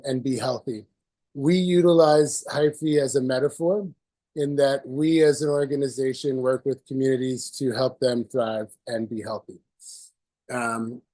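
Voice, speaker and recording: A man with a hoarse voice from a cold, giving a presentation on a video call with many fillers and restarts. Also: mid-range voice, dark tone. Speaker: presenter at community meeting.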